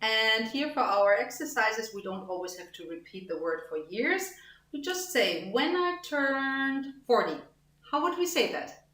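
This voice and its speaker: A woman with a fairly high voice walks through grammar examples at a very slow, careful pace. She repeats phrases and spells them out patiently. The recording has a noticeable roomy echo. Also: animated, a bit bright. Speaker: language teacher.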